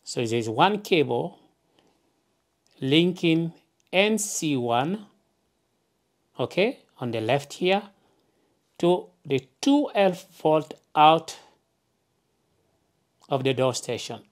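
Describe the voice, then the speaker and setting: A woman with a low voice talks through a practical demonstration at a slow pace. She explains things in simple steps, often punctuating them with a checking okay, as an instructor would. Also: sing-song. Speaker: tutorial presenter. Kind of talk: hands-on instructional narration. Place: indoors, at a wooden work table.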